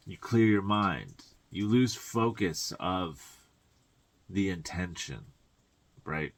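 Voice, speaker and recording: Male spiritual coach, very low voice, informal solo delivery, reflective and halting, with colloquial asides. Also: lively intonation. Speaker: tarot reader and spiritual guide.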